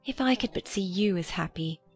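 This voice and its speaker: A woman playing a gentle, trusting, earnest young gentlewoman in a dramatized period audiobook. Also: medium pitch, expressive, normal speed, slightly rough, soft background music. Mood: happy, tender. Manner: soft-hearted, earnest.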